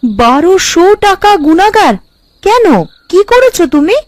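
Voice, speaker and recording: A woman's voice: very high-pitched, sing-song, unhurried, dry recording, clean studio sound, exaggerated voice. A woman playing a blunt, anxious village wife in a cartoon, probing and exclamatory. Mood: anxious, questioning. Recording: light background music.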